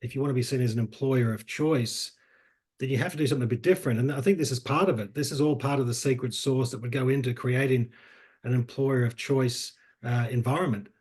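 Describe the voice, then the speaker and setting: A man's voice: mid-range voice, fairly even intonation, fast talker, darkish tone, slightly rough. A man hosting a webinar over a video call, talking loosely with many fillers and repeated words. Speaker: webinar host.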